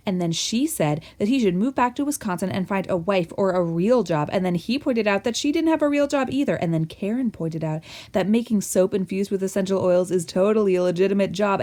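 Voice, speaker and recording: A woman's voice: mid-range voice, mellow. A woman reading scripted narration for a student comedy radio play in a wry, chatty storytelling way.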